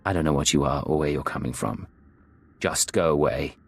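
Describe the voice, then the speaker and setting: A man voicing a cold, controlled secret agent in a video game, measured and clinical. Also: a bass voice, creaky, breathy edge, heavily acted.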